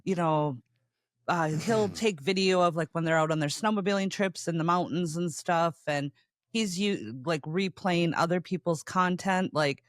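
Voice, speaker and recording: Podcast host guy, kinda high voice, chatty storyteller, dry close sound. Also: normal speed, a bit bright, dry recording. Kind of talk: casual chat.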